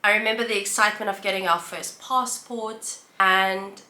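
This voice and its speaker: A South African woman in her thirties, chatting to camera about her life in a relaxed, reflective way with listing pauses. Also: medium pitch. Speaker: vlogger. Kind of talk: casual sit-down chat to camera. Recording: roomy echo, faint background ambience.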